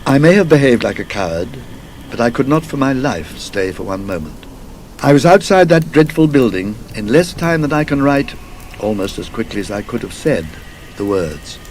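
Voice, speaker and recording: Haunted gentleman traveller, performed by a male narrator, British RP, halting confessional delivery, very animated intonation, faint noise behind. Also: mid-range voice, lightly acted. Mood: shaken, fearful. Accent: British Received Pronunciation. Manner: halting, emphatic, fearful confession. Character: haunted English gentleman traveller recounting his terror.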